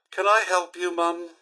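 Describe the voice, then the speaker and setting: A man's voice: sits high, sharp tone, heavily acted. An actor playing a polite, fussy, wry male robot butler in a video game, with a British accent and a formal, theatrically prim manner. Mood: exasperated, weary. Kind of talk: video game character voice lines.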